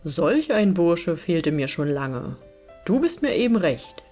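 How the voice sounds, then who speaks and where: Lady doing a lordly castle master from a storybook, formal, authoritative, very animated delivery. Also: fairly low pitch, slow pace, faint music behind, heavily acted. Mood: approving. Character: lordly male master of an enchanted castle, commanding. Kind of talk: fairy-tale storybook reading.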